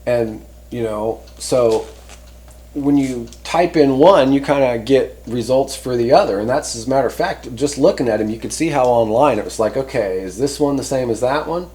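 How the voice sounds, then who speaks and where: A man hosts a casual, hands-on review in an unscripted, conversational way. He rambles a little, stopping to reword his thoughts as he goes. His pitch sits in a middle range for a man. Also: a little mellow. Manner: conversational, rambling, often pausing to rephrase. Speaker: host reviewing CB radio antennas. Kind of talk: casual unscripted product talk.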